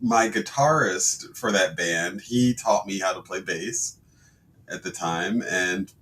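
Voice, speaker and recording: A man co-hosting a podcast in casual chat, hesitant and quick to laugh. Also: deep voice, a bit bright, a little hiss or hum, low-quality recording. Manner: hesitant, laughs often, many restarts. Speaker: podcast co-host.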